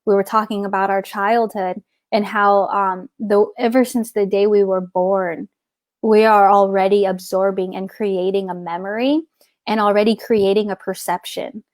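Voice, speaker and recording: A woman's voice: mid-range voice, flat delivery, moderate pace, a little mellow, dry recording. Rambling woman talking about soul work. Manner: rambling, searching for words with many restarts. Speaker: guest author. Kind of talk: casual spiritual conversation.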